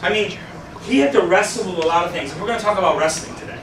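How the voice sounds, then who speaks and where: A man's voice: high-pitched, racing, background noise. A man in his thirties, a youth pastor with a stuffed-up nose, talking casually to a youth group and asking it questions. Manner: conversational, asks the audience questions, gestures widely. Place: small meeting room with a youth group. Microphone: far camera, no mic. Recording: echoey room from a distant camera.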